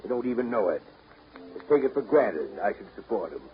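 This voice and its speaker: An actor playing a gruff, grumbling old grandfather in a blustery, emphatic 1930s radio drama style. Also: lowish voice, lively intonation, brisk, a little hiss or hum, lightly acted. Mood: scolding. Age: older. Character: gruff old grandfather, grumbling, practical-minded family provider. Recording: tinny 1930s radio broadcast recording, music behind.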